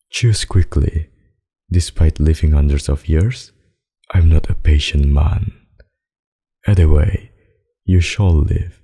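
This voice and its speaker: Guy doing a slow husky ancient vampire master, menacing and possessive, condescending asmr roleplay. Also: a bass voice, mellow, heavily acted. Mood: coolly menacing. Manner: slow, dominant, silky, condescending endearments. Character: centuries-old possessive, sadistic vampire master, smooth and menacing. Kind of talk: ASMR roleplay, intimate scripted monologue.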